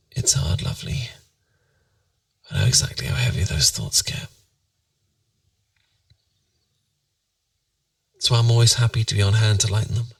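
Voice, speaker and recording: A British man playing a tender, playful boyfriend in a bedtime ASMR roleplay, musing softly and intimately to soothe his partner to sleep. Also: lowish voice, creaky, no room sound, distant mic, exaggerated voice. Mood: tender, reassuring. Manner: soft, intimate, soothing, musing, occasionally near whisper.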